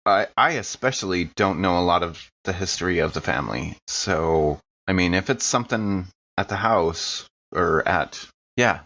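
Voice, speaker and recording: A man's voice: low voice, lively intonation, normal speed, few pauses, sharp tone, dull audio, slight background noise. An American man chatting casually as a player in a tabletop roleplaying game.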